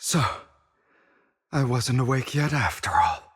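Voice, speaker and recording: Man acting slow, theatrical, proud gentleman schemer. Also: medium pitch, expressive, big-room sound, close to an everyday voice. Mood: puzzled, musing. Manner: deliberate, measured, dramatic. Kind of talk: dramatic video game voice acting.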